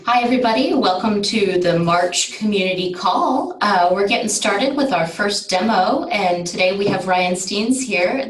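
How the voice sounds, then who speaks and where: A woman hosting a community call, briskly welcoming everyone and introducing the first speaker. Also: medium pitch. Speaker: call host. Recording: echoey, distant call audio.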